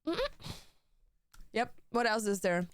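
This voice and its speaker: Young woman streamer, crisp and dry, says like and bro nonstop. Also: mid-range voice, slow pace, no room sound. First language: German. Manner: swears a lot, says "like" and "bro" constantly. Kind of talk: casual chat on a gaming stream.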